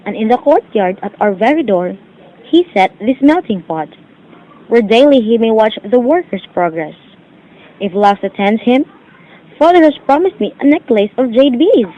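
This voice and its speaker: A woman's voice: fairly high pitch, animated, moderate pace, close to an everyday voice. A woman playing a dutiful, worried young mandarin's daughter in an amateur drama, formal and earnest in her delivery. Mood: earnest, explaining. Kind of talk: declaimed amateur stage-play acting. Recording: dull lo-fi amateur recording.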